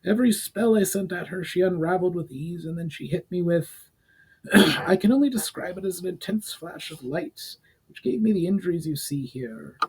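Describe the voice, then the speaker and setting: A man's voice: high voice, lively intonation, normal speed, slightly rough, voice pushed up, exaggerated voice, rough recording. A man voicing a wizened, injured old archmage, grave and cautioning, in a fantasy audiobook. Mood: rueful.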